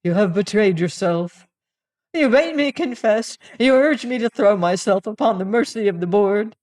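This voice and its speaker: A reader voicing a terrified guilty male clerk who pleads in a frantic, high-strung way. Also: lively intonation, moderate pace, darkish tone, natural voice. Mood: broken.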